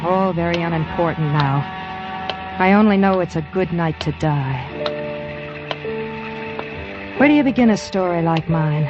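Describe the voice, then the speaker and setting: A bitter, world-weary crime queen confessing in a vintage radio drama. Her brooding delivery sits over clear music, and the old recording is dull. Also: on the low side, lively intonation, a little slow, pauses often. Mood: bitter, fatalistic. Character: unscrupulous woman crime queen of Macau, bitter and fatalistic. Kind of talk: 1950s radio drama narration.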